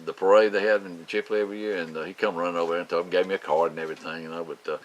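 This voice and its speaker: A middle-aged Southern man hosting a local farm show, rambling through announcements with lots of "uh"s, his voice deepened by a cold. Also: little pitch movement, very fast, slight background noise. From Florida Panhandle, US. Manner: drawling, rambling, many "uh"s and restarts.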